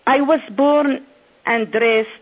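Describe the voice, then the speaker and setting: Woman speaking at a conference, slow and deliberate, kinda high voice, tinny phone-like audio. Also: steady tone. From Syria. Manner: slow, deliberate, phrase-by-phrase delivery. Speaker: author giving a conference speech. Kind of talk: prepared speech.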